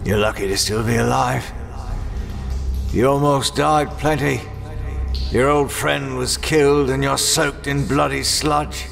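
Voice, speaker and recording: A man voicing a grim, scornful, hardened swordsman in a manga dub, gravelly and menacing. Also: mid-range voice, fairly even intonation. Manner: menacing, deliberate, contemptuous. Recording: loud music under the voice.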